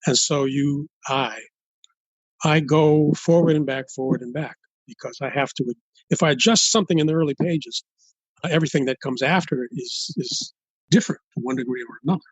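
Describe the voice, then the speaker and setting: Older guy from LA, slightly high husky voice, guest on interview, thinks as he talks, halting. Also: no room sound, low-quality recording. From United States, Los Angeles. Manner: halting, thoughtful, builds points step by step. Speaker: guest author. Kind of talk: interview conversation.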